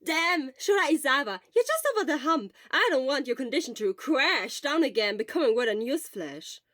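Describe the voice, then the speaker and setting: A woman voicing an excitable young man, a worried friend who scolds and exclaims in exasperation, in an audio story. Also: high voice, normal speed, no room sound, clean studio sound, voice pushed up. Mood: scolding, worried.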